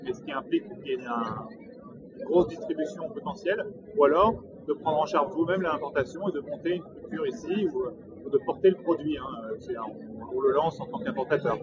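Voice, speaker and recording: A young man in his twenties from Brittany gives advice in a measured, hesitant way, pausing often as he thinks. His voice sits a little high for a man. The recording is muffled and distant, with loud noise behind him. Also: lively intonation. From Brittany, France. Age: 20s. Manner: measured and advisory, many hesitations. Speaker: entrepreneur interviewee. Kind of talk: interview monologue.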